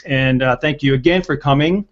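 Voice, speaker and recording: A man in his sixties with a mid-pitched voice hosts a casual panel chat. He talks with frequent hesitations, and the sound is dull video-call audio. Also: normal speed. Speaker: host of a panel video show. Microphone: headset on a video call.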